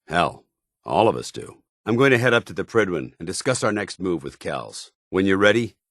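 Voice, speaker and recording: An actor playing a stern, honor-bound soldier in a video game, speaking in a formal, commanding and earnest military way. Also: male voice, deep voice, very animated, normal speed, a bit bright, no echo, clean studio sound, full character voice. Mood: formal, dutiful. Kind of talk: video game companion dialogue.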